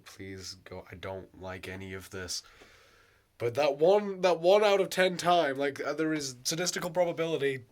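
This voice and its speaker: A man with a sore, hoarse throat, rambling casually in a vlog, swearing and laughing often. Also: medium pitch. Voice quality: hoarse, voice cracking. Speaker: vlogger.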